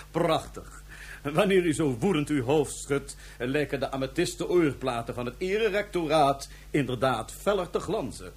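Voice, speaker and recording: A man's voice: fairly high pitch, lively intonation, normal speed, slightly rough. An actor playing a suave, mocking imperial ruler in a Dutch science fiction radio drama, theatrical and condescending in his ornate phrasing. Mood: taunting.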